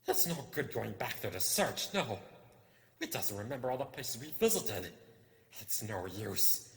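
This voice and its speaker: A wretched, paranoid storybook creature, hisses in a whispery rasp. It mutters to itself in repeated phrases, swinging wildly in pitch inside a long cavernous reverb. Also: male voice, animated, unhurried. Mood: anxious muttering. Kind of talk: storybook character reading. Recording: echoing hall-like reverb.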